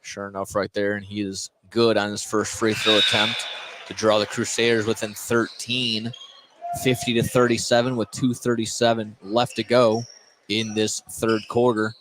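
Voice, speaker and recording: A man doing live radio play-by-play of a basketball game, in an excitable way that rises for big plays. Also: on the low side, moderate pace. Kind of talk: live radio sports commentary. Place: indoor high school gymnasium, courtside. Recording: noisy live gym sound. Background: loud gym ambience.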